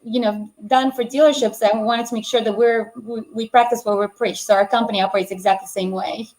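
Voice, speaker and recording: A woman's voice: a little high, steady tone, fast, a little mellow, low-quality recording. A woman with a Russian accent, a native Russian speaker, chatting as a panel guest in a friendly way. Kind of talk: casual panel chat.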